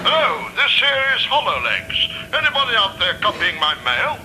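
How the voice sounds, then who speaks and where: A man's voice: sits high, huge pitch swings, moderate pace, a crowd in the background. A man playing a keen, slang-talking CB radio enthusiast in a TV sitcom, heard over the radio. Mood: hailing, breezy. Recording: noisy CB radio transmission.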